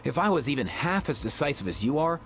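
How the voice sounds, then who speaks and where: A man's voice: on the high side, sing-song, fast talker, a bit bright, a little hiss or hum. A man voicing a casual, wry young friend who talks slangily in a video game.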